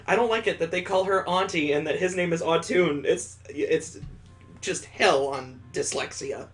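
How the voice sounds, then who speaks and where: A man's voice: fairly high pitch, moderate pace, faint music behind. A man hosting a let's play, reading a visual novel aloud and adding sarcastic joking asides.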